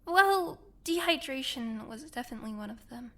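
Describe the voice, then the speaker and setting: A woman playing a practical young companion who talks briskly and encouragingly. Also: sits high, lively intonation, pushed and bright, heavily acted.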